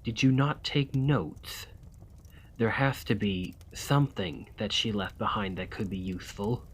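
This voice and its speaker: A man playing a desperate, weary immortal gentleman vampire in an ASMR roleplay, speaking formally and courteously in a breathy, husky voice that often drops to a whisper. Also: on the low side, measured pace, a little hiss or hum, natural voice. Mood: stern, threatening. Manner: formal, courtly, old-fashioned phrasing.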